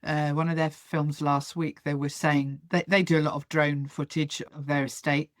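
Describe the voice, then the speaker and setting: A woman's voice: low-pitched, little pitch movement, moderate pace, dry recording, clean studio sound. A woman hosting a podcast, asking questions in a chatty, hesitant way. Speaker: podcast host. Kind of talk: interview questions.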